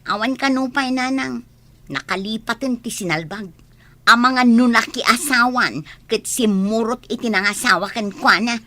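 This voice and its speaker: A woman's voice: a little high, moderate pace, bright, forceful tone. A woman playing a resentful daughter who whines and complains, in an Ilocano radio comedy drama. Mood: grumbling, irritated. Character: grumbling, resentful adult daughter.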